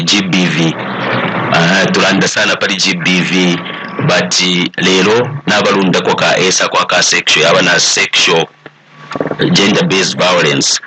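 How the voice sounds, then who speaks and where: A man with a Zambian accent speaking as an expert panelist, explaining deliberately and switching between two languages. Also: deep voice, background noise. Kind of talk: explanatory talk mixing English and Bemba.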